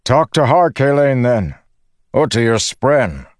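A man voicing a brisk, businesslike armored knight in a fantasy audiobook, shouting in a clipped, direct manner. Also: medium pitch, big pitch swings, no echo, clean studio sound, voice pushed up. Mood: impatient, businesslike.

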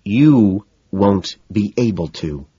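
A man voicing a demanding, furious society girlfriend with drawn-out, hissing indignation, in an audiobook. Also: medium pitch, a little mellow, slightly rough, no echo, lo-fi sound, pitched above the performer's own voice, natural voice. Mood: tense, lowered.

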